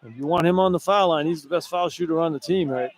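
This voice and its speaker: A man's voice: fairly high pitch, fast. An American man working as a radio color analyst, explaining basketball plays in an informal, conversational way. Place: courtside in an indoor arena. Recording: clear background noise, live arena broadcast.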